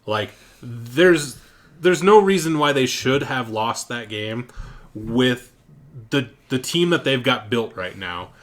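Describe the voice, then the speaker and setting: A man's voice: mid-range voice, expressive, takes it slowly. A man co-hosting a casual esports podcast, giving blunt, plain-spoken opinions. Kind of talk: casual esports analysis chat.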